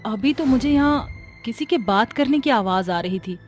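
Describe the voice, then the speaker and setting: Woman as high-voiced, earnest village fish seller. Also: animated, moderate pace, soft background music, lightly acted. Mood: puzzled. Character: puzzled, hard-up village mother selling fish.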